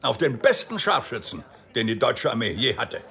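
An embittered male general with a creaky voice and very lively intonation. He is pompous and patronising, confiding like a conspirator in a period radio play. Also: medium pitch, moderate pace, full character voice. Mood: bluff, bitter. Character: boastful, embittered nationalist army general, confiding. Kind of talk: radio drama acting.

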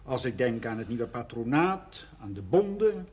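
A man's voice: mid-range voice, very animated, unhurried, darkish tone. A man acting an earnest, tactful village schoolmaster in a television period drama, speaking carefully and diplomatically. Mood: earnest, concerned. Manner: careful, diplomatic, hesitant in criticism.

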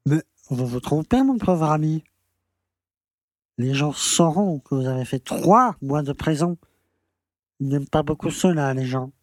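A man's voice: a little high, lively intonation, a little slow, pauses often, dry recording, clean studio sound. A man playing a pompous, condescending and evasive small-town mayor in an audio drama. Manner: pompous, patronizing.

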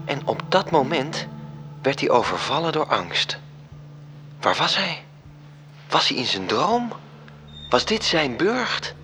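Measured, wistful male angel narrator. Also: fairly high pitch, very animated, bright, forceful tone, slight background noise, full character voice. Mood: storytelling. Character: wistful angel recounting the tale to his superior. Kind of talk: radio drama narration. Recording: music under the voice.

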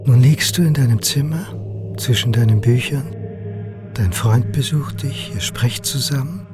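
A ghostly medieval friar, played by a man speaks in a radio drama with slow, solemn gravity. He sounds fatherly and prophetic, in a middle-pitched voice, with music clearly present behind him. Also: close to an everyday voice.

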